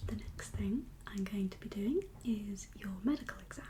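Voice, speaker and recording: A woman speaking in a soft, breathy whisper with a calm, reassuring, unhurried manner, as a doctor in an ASMR medical roleplay. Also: mid-range voice, background noise. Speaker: ASMR performer playing a doctor.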